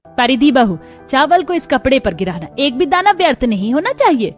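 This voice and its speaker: A bossy older mother-in-law , acted by a woman hands out brisk orders in a high voice. It is cartoon story acting over music. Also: big pitch swings. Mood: instructing.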